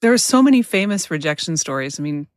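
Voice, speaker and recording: An American woman with a slightly low voice hosts a casual interview. She speaks conversationally, and the recording is dry and free of room sound. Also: sing-song, quick pace, dry recording. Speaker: podcast host, interviewer.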